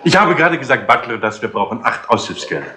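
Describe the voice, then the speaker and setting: Fussy household boss, broken German, very swoopy pitch, echoey radio play. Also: male voice, sing-song, fast talker, sharp tone. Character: fussy, hurried Russian household boss hiring waiters. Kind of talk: 1960s radio crime drama acting. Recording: echoey old radio tape, music behind.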